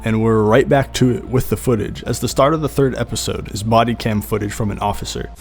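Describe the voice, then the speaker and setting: A man's voice: lowish voice, steady tone, a little fast, gravelly. A man giving horror video commentary in a storytelling way, with casual asides. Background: background music.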